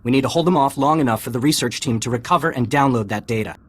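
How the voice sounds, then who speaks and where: An actor playing an army lieutenant in a video game, giving urgent commands. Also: male voice, medium pitch, fast talker, fairly bright tone, natural voice. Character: brisk male Republic army lieutenant. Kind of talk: game cutscene acting.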